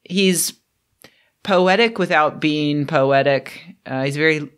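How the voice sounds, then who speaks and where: A woman's voice: low voice, takes it slowly. A young adult woman chatting unscripted on her channel, a bit throaty from a cold, rambling with fillers and self-deprecating asides. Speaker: book vlogger. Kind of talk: unscripted casual vlog.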